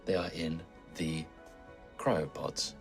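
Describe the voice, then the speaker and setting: Man voicing a calm, very low ship computer. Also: faint music behind, heavily acted. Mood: flat, apologetic. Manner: measured, politely formal, deadpan. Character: calm, apologetic ship computer, a disembodied artificial intelligence.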